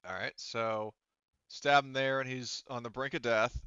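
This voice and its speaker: A man's voice: mid-range voice, steady tone, measured pace, bright, forceful tone, smooth, no room sound, lo-fi sound. A man acting as game master, talking casually as he referees and narrates a tabletop game and explains rules.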